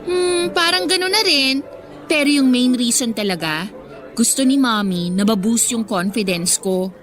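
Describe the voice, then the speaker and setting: A woman's voice: fairly high pitch, measured pace, a bit bright. A Filipino woman playing a bubbly, eager-to-please teenage schoolgirl in a radio drama, perky and chatty in casual Taglish. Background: background music.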